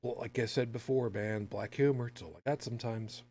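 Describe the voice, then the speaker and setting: Halting, sardonic American man podcasting. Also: mid-range voice, fairly even intonation, darkish tone, soft background music. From United States. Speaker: podcast co-host. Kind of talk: casual opinionated news commentary.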